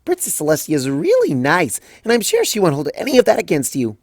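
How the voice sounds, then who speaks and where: A woman's voice: on the low side, huge pitch swings, no room sound, voice pushed up, exaggerated voice. A woman doing a bubbly, rambling pony mare. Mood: chirpy. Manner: chirpy, rambling fast.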